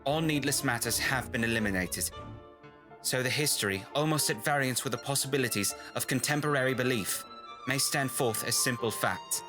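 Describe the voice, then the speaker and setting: An actor playing a solemn, formal gentleman who presents testimony in a measured, old-time radio drama style. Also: medium pitch, over music, close to an everyday voice. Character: grave, formal gentleman bearing witness to strange events.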